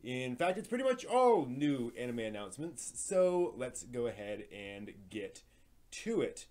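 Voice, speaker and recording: A man hosting a live stream, reading entertainment news in an informal, somewhat halting way with casual asides. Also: mid-range voice, very animated, a little mellow. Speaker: live stream host presenting anime news.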